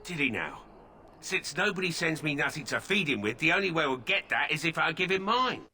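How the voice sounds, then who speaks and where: A man's voice: fairly high pitch, animated, a little fast, sharp tone, slight background noise. A man voicing a surly prison guard in a video game who grumbles and complains about his duty. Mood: grumbling.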